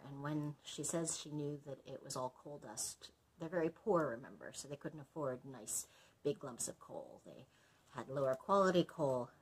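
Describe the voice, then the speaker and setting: A woman's voice: low-pitched, slightly rough, low-quality recording. A woman librarian reading a story aloud expressively, breaking off to explain things in chatty asides.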